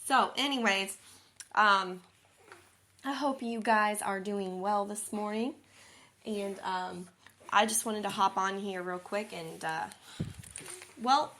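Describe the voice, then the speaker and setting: A woman's voice: on the high side, fairly bright tone. A young woman from Missouri with a southern accent, chatting casually and rambling with lots of fillers as she hosts her own podcast. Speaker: podcast host. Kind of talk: casual chat.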